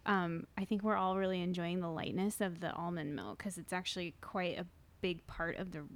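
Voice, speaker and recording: A young adult woman co-hosting a podcast, talking in a relaxed, casual way with many fillers. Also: on the low side, a little fast. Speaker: podcast co-host. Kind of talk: casual chat.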